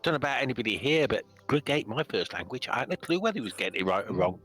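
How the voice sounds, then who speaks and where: A man with a bright, forceful voice joins a group chat, dry and sarcastic, with plenty of swearing. Faint music sits in the background. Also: medium pitch, animated, racing.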